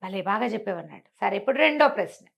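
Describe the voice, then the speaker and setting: A woman's voice: mid-range voice, animated, fast, gravelly, fairly bright tone. A woman telling a moral tale expressively, explaining through dialogue and rhetorical questions. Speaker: storyteller. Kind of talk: animated oral storytelling of a moral fable, acting out dialogue.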